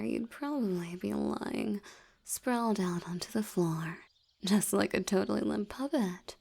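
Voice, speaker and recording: A woman voicing a seductive, commanding vampire hypnotist in an intimate audio roleplay, soft and breathy, often near a whisper, with a teasing, coaxing manner. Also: mid-range voice. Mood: amused, teasing. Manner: slow, coaxing, teasingly dominant.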